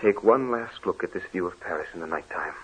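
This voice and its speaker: A man's voice: low-pitched, lively intonation, brisk, slight background noise. An actor playing an earnest, devoted young man in love, speaking ardently in an old radio drama. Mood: reassuring. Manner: ardent, pleading. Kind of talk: 1940s radio acting. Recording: dull lo-fi old radio recording.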